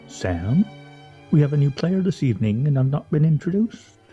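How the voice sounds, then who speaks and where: An actor playing a pedantic, grumbling husband in a comedic radio play, with pompous, wordy, fussily articulate delivery. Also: male voice, on the high side, big pitch swings, moderate pace, warm tone, muffled recording, faint music behind. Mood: indignant.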